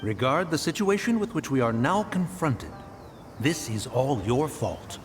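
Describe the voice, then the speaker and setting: A man's voice: medium pitch, very animated, unhurried, over music. A man voicing a stern, anxious immortal in a video game, speaking in archaic, reproving tones. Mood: scolding. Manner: archaic, formal, reproving diction. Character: stern, anxious, scolding male immortal adeptus.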